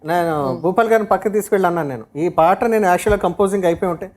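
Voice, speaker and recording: A man's voice: high-pitched, very fast. A man from a film team telling anecdotes casually in a group interview. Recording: faint background noise.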